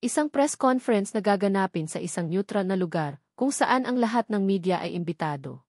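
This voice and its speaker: A Filipino woman narrates a scripted drama in a mid-pitched voice. The recording is dry and close, with no room around the words. She delivers it as a storyteller reading prepared text. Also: animated, a little fast, a little mellow, dry recording.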